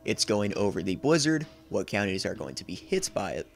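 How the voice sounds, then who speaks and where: A young man reads a scripted recap in a low voice with a creaky edge. He sounds like a video essayist, with faint music behind him. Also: big pitch swings, fairly quick. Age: 20s. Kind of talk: scripted narration, horror series recap. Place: small indoor room.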